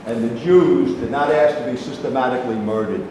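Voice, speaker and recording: A man's voice: mid-range voice, brisk, mellow, natural voice. A man playing an aggrieved, combative older Israeli debater in a staged play reading, arguing with emphatic indignation. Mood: bitter. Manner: argumentative, indignant, emphatic declamation. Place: live staged reading in a reverberant room. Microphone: distant microphone. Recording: echoey hall from far off, noisy.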